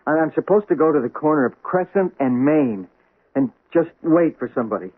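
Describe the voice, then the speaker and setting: A man's voice: fairly high pitch, animated, a little fast, slightly rough. An actor playing a nervous husband who stammers and stumbles over his words in a radio drama. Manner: stammering, jittery. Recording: tinny old radio broadcast recording.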